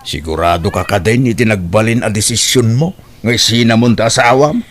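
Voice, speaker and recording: A man with an Ilocano accent playing a protective, supportive older father in an audio drama, speaking in a measured, concerned way. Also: on the low side, close to an everyday voice.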